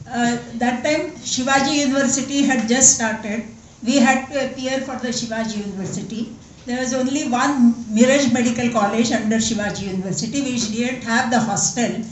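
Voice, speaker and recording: A woman's voice: on the high side, slight background noise. A woman in her seventies from Western Maharashtra, Marathi-accented, giving an earnest, emphatic conference speech as a senior doctor. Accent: Indian English, Marathi. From Western Maharashtra, India. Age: 70s. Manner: earnest, emphatic, repeats for stress. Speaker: guest speaker, senior doctor. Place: large conference hall. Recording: echoey hall, voice from far off.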